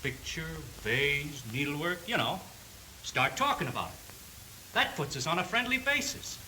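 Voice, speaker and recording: A folksy old-time salesman, real bright forceful voice, slangy, sure of himself, hissy 30s film sound. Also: fairly high pitch, sing-song, normal speed, full character voice, rough recording. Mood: confident. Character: folksy, self-confident older refrigerator salesman, kidded by colleagues. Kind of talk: 1930s training film acting.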